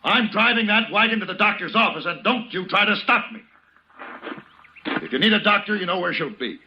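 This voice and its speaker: An actor playing a tough, principled Western hero in 1950s radio drama style, firm and deliberate, commanding and protective. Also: male voice, high voice, lively intonation. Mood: defiant. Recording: muffled, noisy old radio recording.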